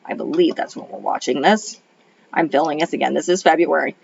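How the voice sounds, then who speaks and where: A woman's voice: deep voice, animated, fairly quick, gravelly, a little mellow, breathy edge. A middle-aged woman, a retired librarian and homeschool mom, rambles through a casual film review at home while her voice is still thrown off by a cold. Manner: rambling, many ums and restarts. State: recovering from a cold, voice a little off. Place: home in Arizona. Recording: dull lo-fi audio.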